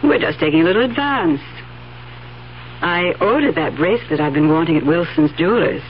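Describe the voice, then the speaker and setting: A woman's voice: fairly low pitch, animated, brisk, natural voice. An actress playing a greedy, brazen female schemer in a 1970s radio drama, with a cool, goading delivery. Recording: dull 1970s radio recording, faint hiss.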